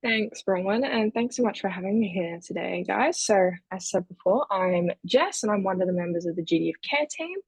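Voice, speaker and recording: Young woman with a creaky, husky voice. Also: mid-range voice, animated, a little fast, hardly any pauses, no echo. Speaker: youth climate advocate, guest speaker. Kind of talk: informal introduction in an online workshop. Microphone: video call.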